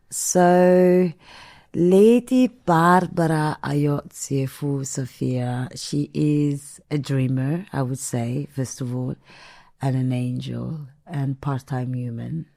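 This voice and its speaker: A woman from northern Italy with a very low voice speaks as an interview guest. She is reflective and unhurried, often pausing on an um before she goes on. The sound is dry and close. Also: warm tone, no echo. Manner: reflective, unhurried, with frequent ums. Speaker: podcast guest. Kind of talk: interview conversation.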